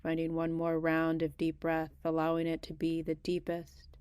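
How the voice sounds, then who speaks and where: A woman guiding a meditation in a gentle, unhurried manner, leaving long pauses between short phrases. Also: low-pitched, completely flat delivery, smooth, dry recording. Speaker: meditation guide. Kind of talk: guided body scan meditation.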